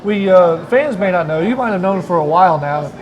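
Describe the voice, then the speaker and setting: A man's voice: sits high, lively intonation, brisk. A man hosting a live sports interview show, asking a guest questions in a busy eatery. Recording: crowd chatter behind the voice. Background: crowd chatter.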